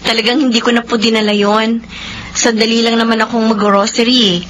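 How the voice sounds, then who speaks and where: Woman acting a shy polite young lady, highish voice, earnest, radio drama, bit of hiss. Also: little pitch movement, fairly quick, a bit bright, lightly acted. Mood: casual, dutiful. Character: Mimi, modest, reluctant, dutiful young woman.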